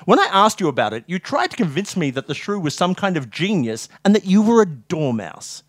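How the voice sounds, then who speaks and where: A man voicing a measured, tactful army hospital doctor in a comic story, formal and explanatory. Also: high-pitched, very animated, no echo, clean studio sound, voice pushed up. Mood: formal, reassuring.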